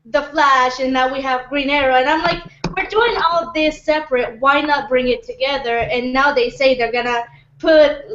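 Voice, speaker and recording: A woman's voice: high voice, fairly even intonation, moderate pace, a bit bright, slight background noise. A woman talking casually as one of several panelists in an informal discussion. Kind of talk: casual chat. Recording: roomy, dull lo-fi recording.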